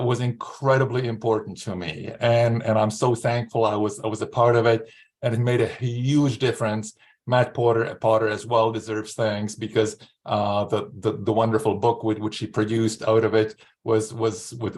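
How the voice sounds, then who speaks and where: Man, 60s, from Slovakia. Author at an online reading, slow and earnest, medium pitch. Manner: halting, repeats words, earnest. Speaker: writer reading own short fiction. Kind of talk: informal spoken introduction and author's reading at an online literary event. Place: remote video call.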